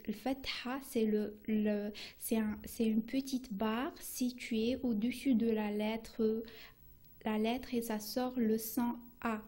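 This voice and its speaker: A woman whose first language is Arabic teaches beginners, slow and unhurried. Her voice sits a little high but sounds dark and mellow. She speaks haltingly, often stopping to restart. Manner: halting, many restarts and fillers. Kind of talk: beginner language lesson on radio.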